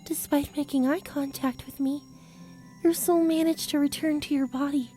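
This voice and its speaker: A woman's voice: sits high, steady tone, normal speed, dark tone, soft background music, lightly acted. A woman playing an eerie, playful teenage girl in a fantasy audiobook, dreamy, distant and condescending, musing unhurriedly. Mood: intrigued. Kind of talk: fantasy audiobook character acting.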